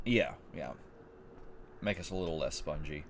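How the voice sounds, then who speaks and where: A man's voice: rumbling low, moderate pace, gravelly, a little hiss or hum. A man casually commentating his gameplay with a stuffy nose, rambling and repeating words as he thinks. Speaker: let's play host.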